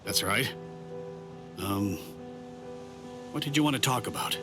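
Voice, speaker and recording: An actor playing a brooding, earnest young warrior lieutenant with a blunt manner in an anime game. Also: male voice, lowish voice, expressive, a little slow, over music. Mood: uneasy, respectful. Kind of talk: English-dubbed anime game dialogue.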